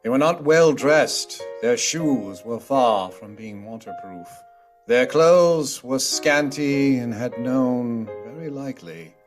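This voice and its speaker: A man's voice: medium pitch, animated, slow pace. A man narrating a story theatrically, as in a scripted radio play. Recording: music under the voice.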